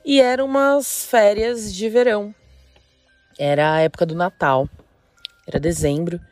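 Brazilian woman in her 30s from são paulo state, mellow voice, chatty, telling her own story. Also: medium pitch, fairly even intonation, measured pace, no room sound, soft background music. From interior of São Paulo state, Brazil. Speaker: listener caller telling a personal story. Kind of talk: casual spoken storytelling.

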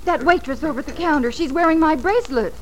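A woman acts an earnest young woman of the Old West in a radio drama. Her voice is high and her manner feisty and forthright. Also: fast talker, crowd noise, natural voice, rough recording. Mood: indignant. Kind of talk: old-time radio western acting.

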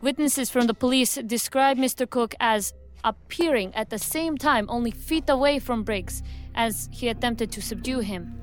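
An actress playing a serious, formal federal agent reporting in a measured, matter-of-fact way in an audio drama. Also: female voice, a little high, soft background music, close to an everyday voice. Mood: serious, puzzled.

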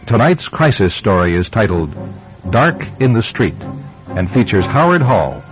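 A man hosting and narrating a scripted radio drama in a dramatic, deliberate storytelling manner. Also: fairly low pitch, sing-song. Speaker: host and narrator. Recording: muffled old radio broadcast, faint music.

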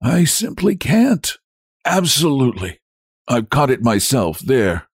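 A weary, sick country doctor from an old tale as a man narrator acts him. He mutters and grumbles with reluctant hesitation, his pitch moving freely, recorded very dry. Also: very animated, slow, breathy edge, dry recording, full character voice. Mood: weary, protesting. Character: exhausted, feverish, reluctant male country doctor.

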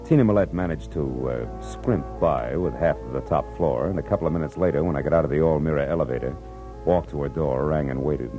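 A hard-boiled American private detective with a very deep, mellow voice, wry and sardonic. He talks tough in vintage 1940s radio style, with music in the old recording. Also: fairly quick. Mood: wry narration. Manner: sardonic, flirtatious, tough-talking. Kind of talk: 1940s radio acting with first-person narration.

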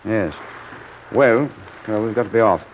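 A man's voice: fairly low pitch, unhurried, slight background noise, lightly acted. Man acting a hearty British navy commander, muffled old recording. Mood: frank, confiding. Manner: hearty, clipped officer's slang. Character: genial British Royal Navy submarine commander, frank and chatty. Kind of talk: old-time radio drama acting.

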